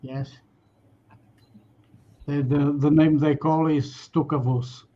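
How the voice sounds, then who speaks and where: Higher-voiced man explaining his models haltingly. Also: little pitch movement, dark tone. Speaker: guest showing models. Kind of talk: casual show-and-tell. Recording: dull lo-fi livestream audio.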